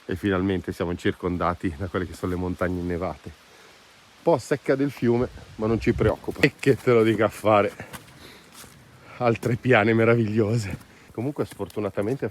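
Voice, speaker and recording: A man's voice: fairly low pitch, darkish tone. A man chatting casually and enthusiastically as he records a hiking vlog outdoors, in an informal, exclamatory way. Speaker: hiking vlogger. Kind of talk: casual vlog chat while walking outdoors. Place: outdoors on a mountain trail. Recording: outdoor noise, ambient hiss.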